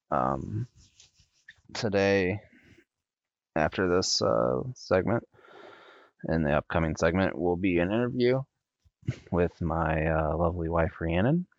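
A man hosting a casual home podcast, talking in a monotone with many ums and uhs, recorded on a headset. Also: low-pitched, measured pace, long pauses, dry recording, dull audio. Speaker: podcast host. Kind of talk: casual solo podcast talk. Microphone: USB desktop headset.

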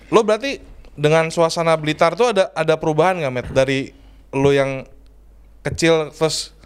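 A man hosting a casual chat, asking relaxed questions and giving short agreeing replies. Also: on the high side, normal speed, clean tone. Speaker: host.

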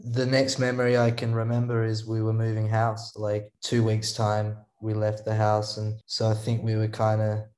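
A man's voice: on the low side, completely flat delivery, darkish tone. Australian man rambling in an echoey room. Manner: rambling, halting, restarts often. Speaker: podcast guest, songwriter. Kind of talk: casual interview storytelling.

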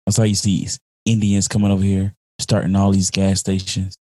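Man, casual podcast talk; dark low voice, dry close sound, rambling and worked up. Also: completely flat delivery, moderate pace, no echo. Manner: heated, rambling, repeats "you know what I'm saying".

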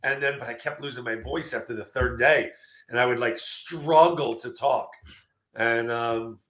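Guy about 59 losing his voice, upbeat rambly stories, bright tone, echoey room. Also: medium pitch, measured pace. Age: 50s. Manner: energetic storytelling with fillers. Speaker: guest, marketing speaker. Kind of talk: casual interview chat. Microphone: video call.

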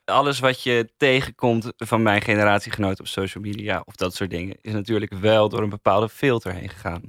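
Young male co-host, Netherlands Dutch, fairly low, casual, guarded, dryly playful, close dry sound. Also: steady tone, fairly quick, a bit bright, no room sound. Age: young adult. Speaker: podcast co-host. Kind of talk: casual chat.

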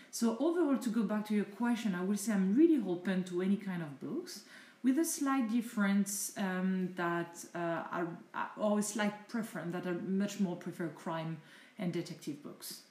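French-accented woman with a mellow voice. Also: medium pitch, reverberant. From France. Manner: thoughtful, chatty answers with searching pauses. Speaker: interviewee, mother of the host. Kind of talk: casual home interview.

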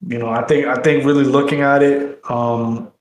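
Male podcast host, middle-aged, mid-pitched, casual rambling talk, echoey room sound. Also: level tone, moderate pace. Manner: rambling, slangy.

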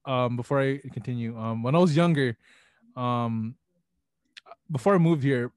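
A man's voice: medium pitch, moderate pace, clear, resonant tone, no room sound. Slangy, laughing young man, dry sound. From Philippines. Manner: laughs often, loose slangy talk full of "yo". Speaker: podcast co-host. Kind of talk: casual podcast chat.

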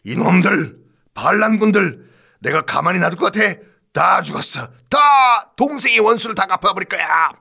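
A man's voice: high voice, animated, normal speed, gravelly, pushed and bright, slightly rough, voice pushed up, heavily acted. A man voicing a grieving, vengeful middle-aged Roman emperor in theatrical storytelling, with wailing and commands. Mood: vengeful fury.

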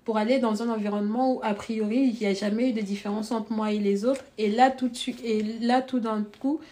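Higher-voiced woman with a Congolese accent. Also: a little fast. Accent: Congolese with French influence. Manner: reflective, earnest, lively when recounting. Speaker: podcast guest. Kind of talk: personal storytelling.

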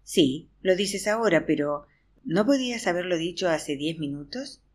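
A woman's voice: lowish voice, expressive, normal speed. A woman narrating an audiobook in the first person, acting out a weary teacher's chatter to a class. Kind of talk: expressive audiobook reading.

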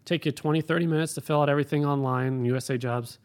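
A man's voice: on the high side, fairly even intonation, rapid-fire. A man who works as an air traffic controller, answering interview questions in a plain, practical, explanatory way.

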